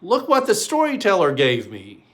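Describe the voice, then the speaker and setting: A man's voice: sits high, wildly swooping pitch, moderate pace, voice pushed up. A man voicing eager, pleading small-town children in a storybook. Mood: excited.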